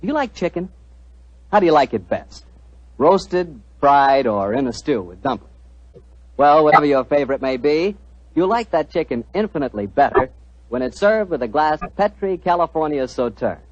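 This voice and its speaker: Animated American radio announcer. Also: male voice, fairly high pitch, normal speed, no echo. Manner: smooth salesmanlike, folksy. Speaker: announcer and host. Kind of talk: 1940s radio sponsor pitch and hosting. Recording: muffled 1940s radio broadcast.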